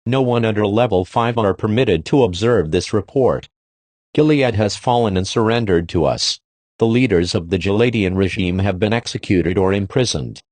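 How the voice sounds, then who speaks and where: A man's voice: fairly low pitch, darkish tone, dry recording, close to an everyday voice. A man playing an official government announcer, reading a classified emergency broadcast in a formal, impersonal, stern way.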